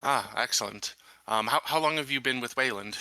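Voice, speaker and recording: A man's voice: medium pitch, a little fast, bright, forceful tone, no room sound, poor audio. A man playing a courteous colony marshal in a tabletop roleplay, speaking formally and politely with hesitant phrasing. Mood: courteous, measured. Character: courteous colony marshal interviewing a new recruit. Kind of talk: improvised in-character tabletop roleplay.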